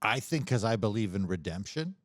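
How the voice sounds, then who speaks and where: Man, casual comic banter, animated and rambling, swearing, lively intonation, close dry sound. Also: on the low side, a little fast, no echo. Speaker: livestream show host. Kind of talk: casual comedic chat.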